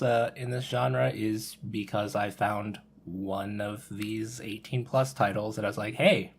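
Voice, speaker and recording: A man's voice: fairly low pitch, breathy edge. A man chatting casually and jokingly in a panel conversation.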